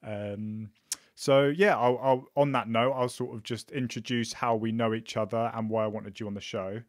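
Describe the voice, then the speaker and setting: A man's voice: mid-range voice, fairly even intonation, moderate pace, no room sound, studio quality. Hesitant man hosting a podcast. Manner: hesitant, many ums and restarts. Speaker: podcast host. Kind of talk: casual conversational interview.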